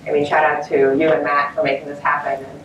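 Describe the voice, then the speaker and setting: A woman's voice: deep voice, flat delivery, quick pace, muffled recording. A woman panelist speaking conversationally at a conference, with frequent restarts. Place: conference stage. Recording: roomy, distant mic, faint noise.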